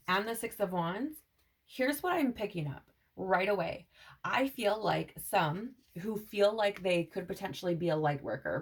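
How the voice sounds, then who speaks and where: A woman giving a casual spiritual tarot reading to viewers, meandering and repeating "right?" as she channels messages, her throat a little scratchy. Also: fairly low pitch. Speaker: tarot reader.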